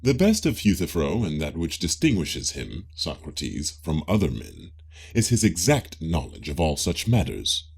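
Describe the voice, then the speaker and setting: A man acts out a pompous, self-assured man of religion from ancient Greece for an audiobook dialogue. His voice is very deep and creaky, and he declaims with big swings in pitch. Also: sing-song, a little slow, darkish tone, heavily acted. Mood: self-assured, indignant. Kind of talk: dramatic audiobook reading of a philosophical dialogue.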